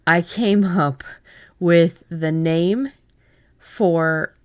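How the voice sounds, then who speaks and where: A woman's voice: lowish voice, very slow, clear, resonant tone, dry recording, clean studio sound. A woman co-hosting a casual writing workshop chat, speaking informally and often breaking off and restarting her thoughts. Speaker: podcast co-host and writer.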